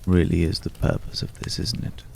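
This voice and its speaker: A man acting a mysterious, courtly, world-weary man in an intimate, hushed ASMR roleplay, with florid, old-fashioned, pensive phrasing and a gravelly voice. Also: normal speed, slight background noise, lightly acted. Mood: wistful, pensive.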